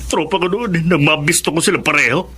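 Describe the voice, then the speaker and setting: A troubled teenage boy in a Filipino radio drama. His voice sits high for a male, and he speaks with resentment, stumbling when he gets upset. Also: quick pace, pushed and bright, a little hiss or hum. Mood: hurt, bitter. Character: troubled, resentful teenage son of separated parents.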